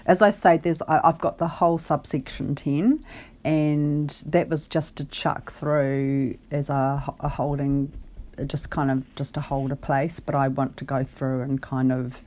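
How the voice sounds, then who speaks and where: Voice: mid-range voice, level tone, unhurried, darkish tone. A caller on a phone conversation, explaining their case in a hesitant, careful and articulate way. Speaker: caller, benefit client.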